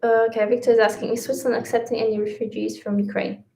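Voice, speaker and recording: A woman's voice: on the high side, flat delivery, fast, mellow, slight background noise. A woman from Latvia whose first language is Russian, co-hosting a casual livestream and talking with many fillers and restarts. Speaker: livestream co-host. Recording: echoey room.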